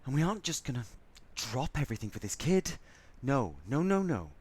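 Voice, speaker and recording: A man's voice: mid-range voice, very animated, brisk, a little mellow. A man playing a sassy, theatrical, frazzled robot crew member in an audio drama, with a sardonic, stubborn manner. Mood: irritated, firm.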